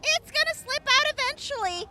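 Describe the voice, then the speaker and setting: A woman's voice: very high-pitched, expressive, normal speed, very noisy. A woman playing a perky, sassy young girl medic in a post-apocalyptic radio drama, with a sing-song delivery. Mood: grumbling. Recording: music playing behind the voice.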